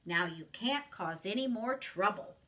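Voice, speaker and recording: A kind-hearted young-at-heart storybook hero read by a woman, cheerful and reassuring. The tone is bright and lifted, with lively swings and some distance from the mic. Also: medium pitch, measured pace. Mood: fond.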